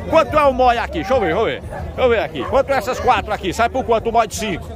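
A man talks fast and high as he walks an outdoor livestock market with a handheld mic. He chats with traders and keeps greeting people, upbeat and repetitive. A crowd chatters loudly all around him. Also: expressive. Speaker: market reporter and video host. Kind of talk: on-the-spot interviews while walking.

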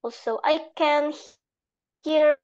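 A young woman with an Indonesian accent giving halting, simply phrased speech feedback as an evaluator on a video call. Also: high-pitched, steady tone, darkish tone, highs cut off. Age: young adult. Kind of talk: spoken speech feedback at a club meeting.

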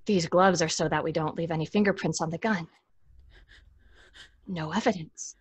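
Husky, bitter grown daughter. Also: female voice, on the low side, fairly even intonation, dry recording. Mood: hushed, chilling. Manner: halting, intense. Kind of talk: horror audio drama acting. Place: small room at home, on video call.

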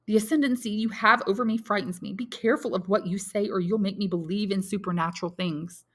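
A woman's voice: medium pitch, fast, a bit bright, voice pushed up, natural voice. A woman reading aloud and voicing a lovesick young male soldier in despair, speaking with raised, impassioned pleading. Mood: anguished.

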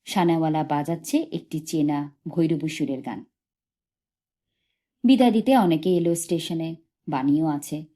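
A woman reads literary fiction as an audiobook narrator. Her voice sits a little low and is very soft-edged and mellow, and her scripted delivery is expressive storytelling. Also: unhurried, pauses often. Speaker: audiobook reader.

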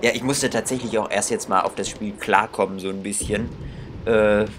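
A man's voice: on the low side, steady tone, normal speed, fairly bright tone, a little hiss or hum. A young man commenting casually on a game he plays, musing aloud and reading its text. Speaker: gaming video host.

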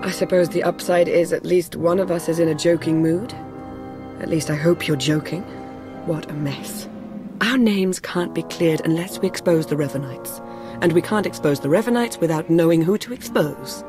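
Woman playing low-voiced, composed intelligence officer. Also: over music, natural voice. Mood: dry, resolute. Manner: composed, measured, dry. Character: cool, shrewd female Imperial intelligence officer.

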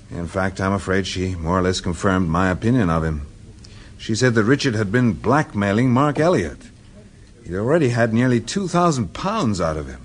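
An actor playing a polite, weary American husband in a radio drama, speaking in a measured, courteous way. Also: male voice, on the low side, slightly rough. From United States. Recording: noisy radio drama recording with ambience.

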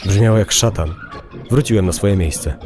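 A man's voice: on the low side, normal speed, over music. A man narrating a dramatized first-person horror-comedy story as a podcast reader, with crowd-like sound behind him.

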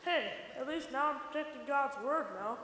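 A sheepish ex-gangster acted by a man with a very high, bright voice, earnest and simple. It is radio-play acting in a very echoey church hall. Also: normal speed. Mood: forlorn, earnest. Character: reformed mob boss, simple, sheepish, needy. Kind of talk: old-time radio comedy drama acting. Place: church sanctuary stage.

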